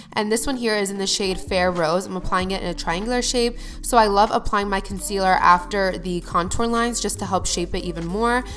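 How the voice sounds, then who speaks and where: Young woman beauty vlogger, mid-pitch, slightly nasal from a cold, chatty and upbeat, soft music behind. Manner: chatty, upbeat, addresses viewers directly. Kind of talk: get-ready-with-me voice-over.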